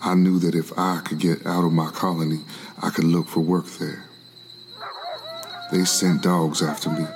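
Actor as deep, level-toned man escaping slavery. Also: level tone, moderate pace, long pauses, darkish tone, faint music behind. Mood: grave, recounting. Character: weary, determined escaped slave narrating his 1700s flight to freedom. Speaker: narrator in a gospel oratorio. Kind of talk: dramatic scripted first-person narration.

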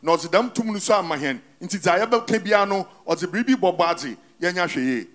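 Male interpreter, high voice, tracks the preacher phrase by phrase in church. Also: expressive, fast talker, fairly bright tone. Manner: follows the preacher line by line. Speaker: sermon interpreter. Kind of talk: interpreting a sermon.

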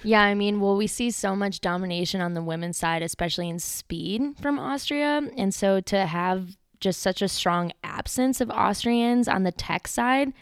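A woman co-hosting a sports podcast, giving steady, measured commentary. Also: medium pitch, clear, resonant tone, dry recording, clean studio sound. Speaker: podcast co-host. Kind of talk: casual podcast commentary on ski racing.

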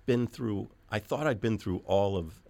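A man's voice: fairly low pitch, measured pace, gravelly, dark tone, dry recording. A man hosting a radio show, chatting casually with a guest in a studio, laid-back and playful. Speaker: radio host. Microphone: studio microphone.

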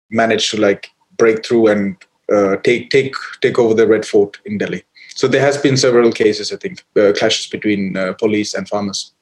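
A man from Sri Lanka speaks as a guest on a podcast. He talks in a halting way, circling back with restarts and fillers while he explains things. His voice sits at a middle pitch. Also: poor audio. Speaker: photographer guest. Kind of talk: podcast interview.

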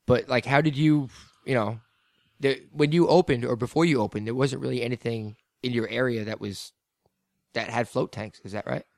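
A man's voice: medium pitch, normal speed, gravelly, a little mellow, no echo, clean studio sound. A man hosting a podcast in casual chat, laid-back and a bit mumbly, laughing often. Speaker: podcast host.

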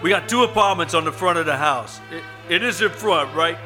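Man acting a high-voiced, brusque building superintendent. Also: expressive, fairly quick, music in the background, close to an everyday voice. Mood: sceptical, businesslike. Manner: brusque, colloquial. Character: gruff, sceptical apartment building superintendent. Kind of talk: old-time radio suspense acting. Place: live performance at a winery.

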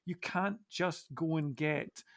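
A middle-aged man speaking as a podcast guest in a reflective discussion, thoughtful and halting, with deliberate pauses. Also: on the high side, a bit bright, no room sound, clean studio sound. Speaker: podcast guest and church minister.

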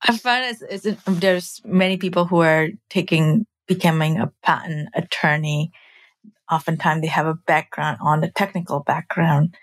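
A woman's voice: low voice, steady tone, moderate pace. A woman hosting an interview podcast, moving between scripted introduction and conversational questions. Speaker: podcast host.

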